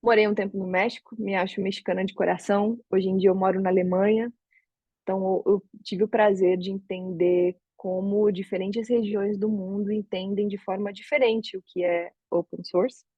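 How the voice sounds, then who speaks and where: A woman's voice: medium pitch, fairly even intonation, normal speed, warm tone, slightly rough, no room sound, highs cut off. A Brazilian woman in her thirties with a Brazilian Portuguese accent, giving a conversational online talk from home, thinking aloud with frequent restarts. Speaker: open source advocate giving a talk. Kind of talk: casual online talk to a community. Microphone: video call.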